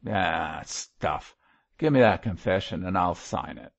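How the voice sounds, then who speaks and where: A man voicing a surly, defiant Cockney murderer in an audiobook, gruff and rough-spoken. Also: sits low, expressive, measured pace, lo-fi sound, full character voice. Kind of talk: audiobook character voice.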